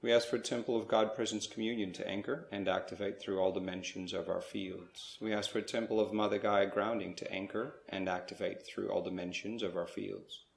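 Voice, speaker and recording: A man with a low voice leads a guided meditation in a very flat, even tone. He speaks in measured short phrases, leaving pauses between them. The sound is roomy, with clear echo around the voice. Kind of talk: slow guided spiritual meditation.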